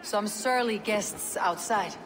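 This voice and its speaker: A woman's voice: medium pitch, slow pace, natural voice. A woman playing a stern, self-assured, defiant Viking warrior in a video game, with terse, confident lines. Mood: grim resolve. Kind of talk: dramatic video game acting. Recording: noisy ambience behind the voice.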